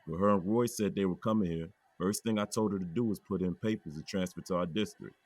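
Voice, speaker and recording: A grieving police chief, played by an actor in an audio drama, speaking calmly and graciously. His voice is very deep. The recording is dry and close. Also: fast talker, breathy edge, dry recording. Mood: steady.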